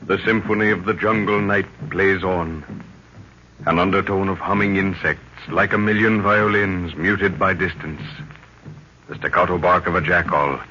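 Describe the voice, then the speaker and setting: A man's voice: low-pitched, steady tone, moderate pace, sharp tone. A man narrating a 1930s radio adventure in a grandiose, theatrical style. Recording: muffled, hissy old 1930s radio recording.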